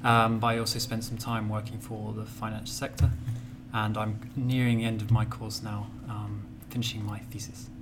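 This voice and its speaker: A man's voice: on the low side, monotone, normal speed. A male master's student from the UK answering interview questions, hesitant with fillers. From United Kingdom. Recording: audible background noise.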